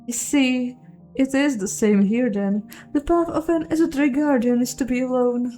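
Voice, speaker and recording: A woman's voice: sits high, moderate pace, dark tone, faint music behind, pitched above the performer's own voice, close to an everyday voice. A woman voicing a weary, solitary old elf druid in a fantasy game, solemn and cryptic, with formal phrasing.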